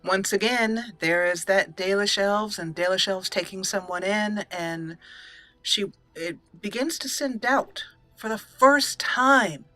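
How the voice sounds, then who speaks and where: Female let's play host, mid register, very bright and forceful, deliberate dramatic roleplay delivery, faint game music underneath. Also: mid-range voice, no room sound. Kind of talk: in-character roleplay commentary on a video game.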